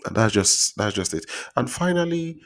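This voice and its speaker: A man with a Nigerian accent giving earnest, casual relationship advice straight to his viewers, speaking softly because he is traveling. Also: medium pitch, sing-song, normal speed. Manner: earnest, repetitive, addresses viewers as guys. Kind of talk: casual advice monologue to camera.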